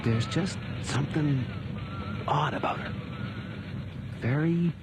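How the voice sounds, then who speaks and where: An actor playing a deferential police constable in a radio drama, halting and hesitant in speech. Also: male voice, a little high, noisy background. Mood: deferential, uneasy.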